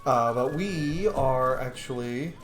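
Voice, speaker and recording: A man's voice: mid-range voice, slow pace. A man hosting a gameplay video with casual, rambling, sweary commentary and a sardonic edge. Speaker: video game streamer and host. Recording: roomy, faint background noise.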